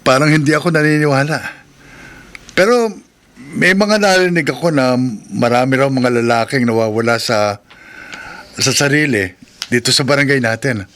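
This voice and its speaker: An actor with a Filipino accent playing a nervous, eager-to-please taxi driver husband who stammers when uneasy, in a horror radio drama. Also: male voice, mid-range voice, normal speed, pauses often, fairly bright tone, lightly acted. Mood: friendly, uneasy.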